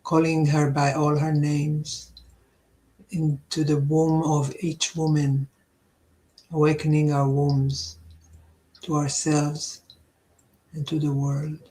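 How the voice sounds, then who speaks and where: A woman whose first language is Hebrew, speaking as a guest over a video call and explaining a prayer in a steady, even tone. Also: low voice, takes it slowly, long pauses, rough recording. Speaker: podcast guest. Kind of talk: spoken invocation.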